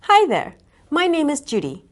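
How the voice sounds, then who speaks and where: Lively woman English teacher. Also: sits high, normal speed. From United States. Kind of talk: scripted lesson presentation.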